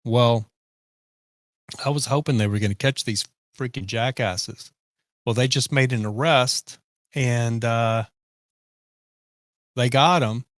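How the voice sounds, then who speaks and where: A man's voice: medium pitch, slow pace, pauses often, no echo, clean studio sound. A man hosting a live podcast stream, chatting casually and reading viewer comments. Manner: rambling, reads viewer comments. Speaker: podcast host. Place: home office.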